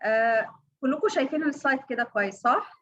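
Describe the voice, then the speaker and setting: A woman with an Egyptian Arabic accent giving an online training lecture, halting, with many fillers and restarts. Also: high voice, highs cut off. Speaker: workshop trainer. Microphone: online call audio.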